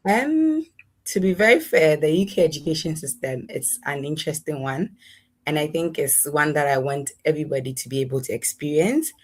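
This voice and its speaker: A woman's voice: low voice, expressive, moderate pace, a little mellow. A young adult woman with a Ghanaian accent, giving conversational answers as an interview guest. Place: in a room at home, online interview.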